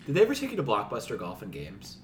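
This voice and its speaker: A man's voice: lowish voice, wildly swooping pitch, fast talker, a little hiss or hum. An American man co-hosting a podcast in casual, conversational chat. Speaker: podcast co-host.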